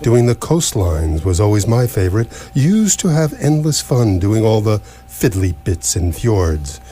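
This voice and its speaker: An actor in live radio theatre playing an ancient, absent-minded alien planet-builder, stately and portentous yet mildly apologetic. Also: male voice, on the low side, very animated, measured pace, warm tone. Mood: chatty, wistful. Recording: noisy live stage recording with hum.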